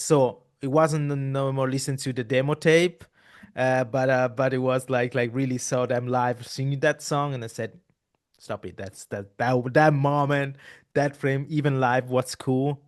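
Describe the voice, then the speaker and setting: A man's voice: mid-range voice, steady tone, a little slow. Man, rambling host. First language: Italian. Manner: rambling, with many restarts and repeated words. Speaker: podcast host. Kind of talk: casual interview chat.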